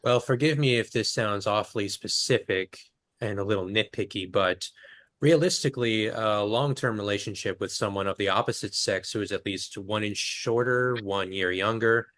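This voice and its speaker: A man's voice: on the low side, normal speed, fairly bright tone, no room sound, studio quality. A man in his twenties, a dating show guest, choosing formal, precise wording. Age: 20s.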